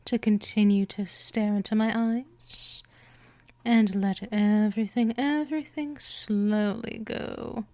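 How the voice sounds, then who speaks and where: A woman voicing a gentle but dominant, seductive vampire in a scripted hypnosis roleplay, teasing and coaxing, often slipping toward a whisper. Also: medium pitch, steady tone, slow pace, no echo. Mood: soothing, hypnotic.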